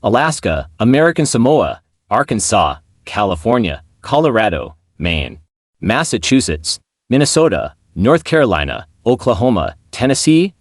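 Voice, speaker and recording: A man's voice: lowish voice, sing-song, normal speed, dry recording, studio quality. A man narrating scripted news as a newsreader.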